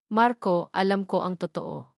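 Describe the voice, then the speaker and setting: A woman's voice: lowish voice, unhurried, vocal fry, darkish tone, dry recording. A woman narrator plays an earnest young suitor in an audio love story. He speaks with firm, steadfast conviction, recorded close and dry. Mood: firm, insistent. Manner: firm, insistent.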